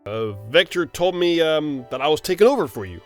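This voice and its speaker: A man's voice: on the high side, lively intonation, fairly quick, soft background music, lightly acted. A man playing a proud, stubborn echidna guardian in an audio drama, with a defensive manner. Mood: evasive.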